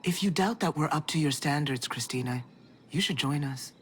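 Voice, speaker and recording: An actor playing a persuasive tech leader who talks in a smooth, assured way. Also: mid-range voice, moderate pace, slight background noise, close to an everyday voice. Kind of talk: video game cutscene acting.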